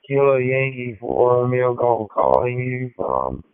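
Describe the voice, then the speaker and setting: An American man making a polite, informal ham radio contact, speaking haltingly over a radio link. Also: mid-range voice, sing-song, clear, resonant tone, no echo. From Georgia, United States. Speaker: amateur radio operator. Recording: tinny narrowband digital radio transmission.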